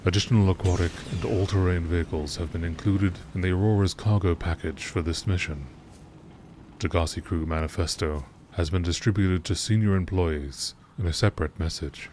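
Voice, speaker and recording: Very deep-voiced man narrating, noisy recording. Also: fairly even intonation, fairly quick, a little mellow. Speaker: narrator reading out video game text logs. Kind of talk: scripted narration in nature documentary style.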